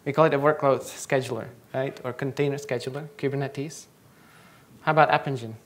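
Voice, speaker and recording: A man's voice: mid-range voice, fairly even intonation, a little fast. A young man from the Czech Republic, a native Czech speaker, giving a conference talk in a lecture hall and quizzing the audience. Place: large lecture hall, on stage.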